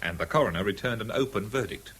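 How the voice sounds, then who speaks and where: An actor playing a keen, respectful junior policeman in a radio drama, brisk and factual. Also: lowish voice, lively intonation, fairly bright tone, breathy edge. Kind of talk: 1970s British radio drama acting.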